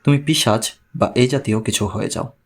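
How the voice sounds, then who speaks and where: A man's voice: mid-range voice, normal speed, voice pushed down, natural voice. A man voicing a frightened wife who insistently accuses her husband, in an audiobook story. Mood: accusing, fearful.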